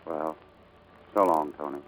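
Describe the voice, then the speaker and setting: An actor playing a breezy, cocky, footloose pilot in a 1940s radio drama, slangy and offhand. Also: male voice, extremely low pitch, fairly even intonation, a little slow, rough recording. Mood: fond, offhand. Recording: vintage 1940s radio broadcast.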